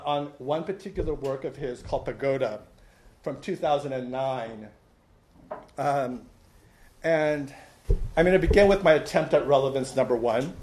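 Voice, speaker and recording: A man born in Burma giving an academic conference talk on art, pausing often with uh and um as he walks through his slides. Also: mid-range voice. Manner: halting, many uh and um fillers, pointing to slides. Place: lecture room at a conference.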